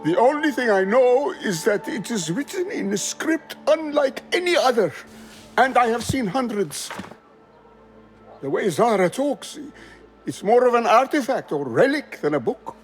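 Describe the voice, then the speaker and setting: Frightened captive scholar: very high voice, halting and slow, pleading, game cutscene. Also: male voice, sing-song, soft background music, exaggerated voice. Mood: frightened confession. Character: terrified, pleading male scholar, captive code-breaker. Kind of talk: video game character acting.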